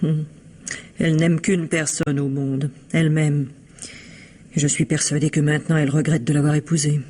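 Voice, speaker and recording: Reserved, gossiping shopkeeper's wife, vintage radio mystery. Husky, measured, evasive, quietly venomous. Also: female voice, sits low, level tone. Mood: bitter, disdainful.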